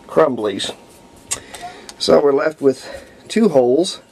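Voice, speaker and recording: An American man in his fifties narrates hands-on repair work unscripted, thinking aloud in a halting way as he goes. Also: on the high side, expressive, darkish tone. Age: 50s. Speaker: hobbyist radio restorer. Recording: clear background noise.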